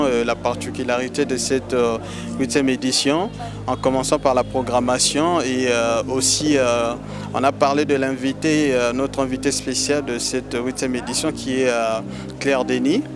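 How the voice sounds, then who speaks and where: A man's voice: medium pitch, steady tone, normal speed, music in the background. A Congolese man from DR Congo, a festival organizer answering interview questions in a hesitant way, with many filler sounds and repeated words. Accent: Congolese French.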